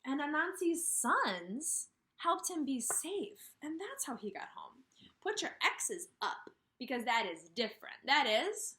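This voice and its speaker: A woman in her thirties speaks as a kindergarten teacher to small children. Her voice is bright and very lively in pitch, at a slow, deliberate pace. She asks questions and leaves room for answers, stressing key words. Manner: animated, asks questions and pauses for answers, slow emphatic phrasing. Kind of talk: read-aloud lesson addressed to young children. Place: small indoor room with brick wall.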